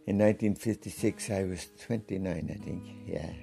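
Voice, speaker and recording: Gravelly, deep elderly Wexford Irishman. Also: normal speed, warm tone, faint music behind. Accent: rural Wexford Irish. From County Wexford, Ireland. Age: 80s. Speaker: former hurler being interviewed. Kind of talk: reminiscing.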